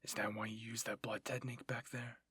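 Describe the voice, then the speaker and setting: A man's voice: mid-range voice, little pitch movement, a little fast, pushed and bright, slightly rough, no echo. A man voicing a stern, curt male military captain who scolds in clipped phrases in a fan fiction roleplay. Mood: grim.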